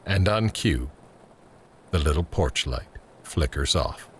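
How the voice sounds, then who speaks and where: An extremely low male voice narrates scenes like a screenplay being read out. The delivery is measured and descriptive, with faint ambience behind it. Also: expressive, a bit bright, slight background noise. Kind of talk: scripted screenplay-style narration.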